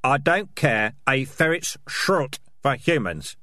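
Man doing a tough, blunt rat fighter, raised pitch. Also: expressive, measured pace. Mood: angry. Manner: snappish, forceful, blunt.

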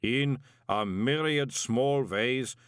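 Guy playing a huge rumbling golem, talks real slow n formal, stern moral type, audiobook, very dry sound. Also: a bit bright, clear, resonant tone, no echo. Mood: stern, accusing. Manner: slow, formal, measured speech. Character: impassive male clay golem, huge, literal-minded, sternly moral. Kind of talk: audiobook character voice.

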